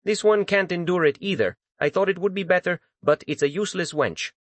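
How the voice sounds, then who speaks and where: A man's voice: sits high, fairly quick, dry recording, voice pushed up, lightly acted. A man voicing an irritable female spirit in a dramatized audiobook, snappish and contemptuous. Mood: annoyed.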